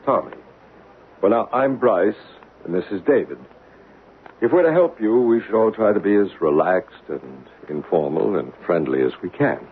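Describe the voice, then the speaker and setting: An actor playing a calm male psychic investigator in a 1970s radio drama, asking questions in a reassuring way. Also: sits low, moderate pace. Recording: tinny hissy 1970s radio broadcast.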